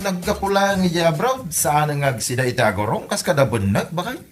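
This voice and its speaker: A man's voice: on the high side, very animated, moderate pace, over music. A man playing an exasperated, embarrassed village husband in a comedy audio drama, full of indignant exclamations. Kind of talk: comedy radio drama acting.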